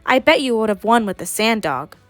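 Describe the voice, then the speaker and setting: A woman's voice: on the high side, fairly quick, soft background music. A young woman voicing an eager, insistent little boy in a picture book, with an emphatic delivery. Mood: friendly, eager. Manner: excitable, emphatic.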